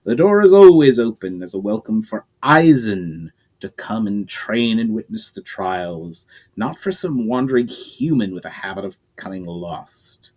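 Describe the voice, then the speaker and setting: A man voicing an arrogant male Aizen warrior with a deep, melodious voice, speaking in a haughty, scolding way in a dramatic story reading. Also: animated, unhurried, a little mellow. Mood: scornful.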